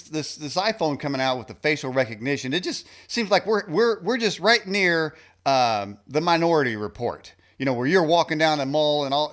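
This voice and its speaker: A man's voice: on the high side, a bit bright. A man hosting a radio interview, folksy and joking in a casual way. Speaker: radio host.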